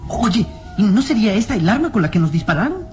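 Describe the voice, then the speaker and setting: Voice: mid-range voice, very animated, fast, a little mellow, faint music behind, lightly acted. A performer playing an inquisitive, respectful young boy sidekick in an old-time radio drama. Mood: curious. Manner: eager, inquisitive.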